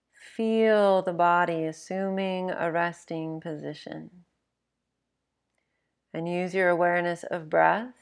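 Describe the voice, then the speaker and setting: A woman narrating a guided sleep meditation in a gentle, measured, instructional manner. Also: on the low side, creaky.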